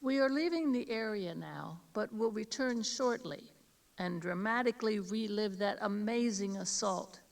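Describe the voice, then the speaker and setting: A woman reading scripted driving directions as a tour guide, in a measured, instructional way. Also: mid-range voice, creaky. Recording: 1980s cassette tape, slightly distant mic.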